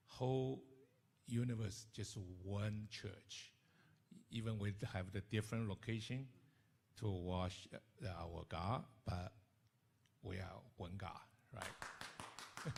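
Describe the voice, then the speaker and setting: A man with a Mandarin accent, a council member giving a halting speech at a community event, searching for his words. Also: fairly low pitch, vocal fry.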